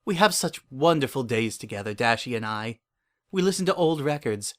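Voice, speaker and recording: A man's voice: medium pitch, lively intonation, moderate pace, lightly acted. Lonely, deluded male confessor for audio drama, acted by a man, mid-range voice, earnest, uneasily intense, intimate first-person storytelling. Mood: tender, obsessive. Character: lonely, obsessive, delusional man confiding his private devotion.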